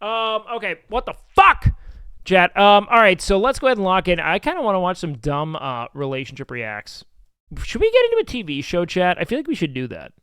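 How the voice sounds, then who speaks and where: A man with a nasal voice chatting casually on his live stream, loud and excitable, swearing often. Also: sits high, a little fast, smooth, no echo. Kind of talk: casual live-stream chat reacting to clips. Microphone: studio mic with noise gate.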